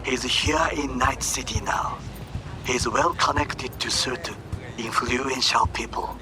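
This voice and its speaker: An actor playing a grave, honourable corporate warrior in a video game, with a Japanese accent, a breathy hush and formal, deliberate phrasing. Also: male voice, medium pitch, background noise, close to an everyday voice. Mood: grave, earnest. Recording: music behind the dialogue.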